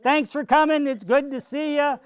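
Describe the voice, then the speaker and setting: A man's voice: extremely high pitch, fairly even intonation, moderate pace, dry recording. A man speaking as a pastor to children, explaining simply in a folksy way with repetition. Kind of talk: children's sermon with a puppet. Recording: dull lo-fi sound.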